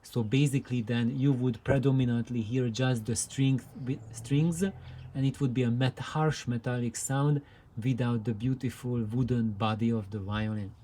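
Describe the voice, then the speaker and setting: A man giving an unscripted explanatory demonstration, talking in a deliberate, halting way as he presents. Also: medium pitch, fairly even intonation, mellow, a little hiss or hum. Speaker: presenter of hobbyist audio videos.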